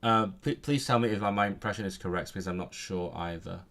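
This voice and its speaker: A young man talks casually on a live stream as he studies. He interrupts himself often and says practice words aloud over and over, in a fairly low voice. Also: a little fast, gravelly, a bit bright. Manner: chatty and self-interrupting, reads out and repeats practice words aloud. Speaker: language learning streamer. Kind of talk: casual live-stream chat while studying.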